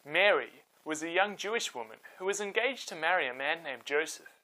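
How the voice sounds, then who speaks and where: A man hosting and telling scripted Bible stories for children in an expressive, dramatic way. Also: fairly high pitch, fairly quick, rough recording. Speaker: storyteller and host.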